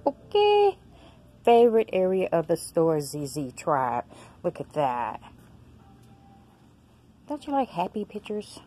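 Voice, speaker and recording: A woman, filming a casual shopping vlog on a handheld phone, chatting excitedly to her viewers. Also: on the low side, takes it slowly, long pauses. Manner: chatty, excited exclamations, addresses viewers as y'all and girl. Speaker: vlogger. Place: large discount store aisles, indoors. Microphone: handheld phone camera.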